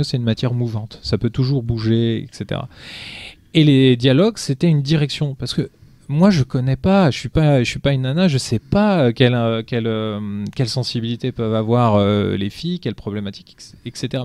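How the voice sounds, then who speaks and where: A man's voice: mid-range voice, warm tone, dry recording, studio quality. A man being interviewed on a podcast about his film, talking casually and animatedly, with many restarts and fillers. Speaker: film director, guest.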